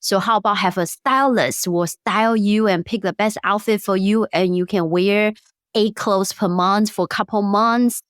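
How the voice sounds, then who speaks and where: Taiwanese-accented woman, smooth and clear. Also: mid-range voice, normal speed, no echo, studio quality. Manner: non-native grammar, lively storytelling. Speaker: guest, startup founder. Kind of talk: interview conversation.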